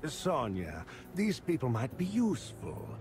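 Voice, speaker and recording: A man voicing a calm, pragmatic gang patriarch who speaks with steady authority. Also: medium pitch, a little slow. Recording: game voice with music behind.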